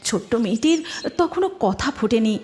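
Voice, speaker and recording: A woman's voice: fairly high pitch, very animated, fairly quick. A woman narrator reading a scripted dramatic story. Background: music.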